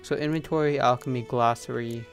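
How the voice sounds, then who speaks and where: A man's voice: medium pitch, fairly even intonation, fairly quick. A man giving casual commentary as he plays a video game, at times reading on-screen text aloud. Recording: game music behind the voice.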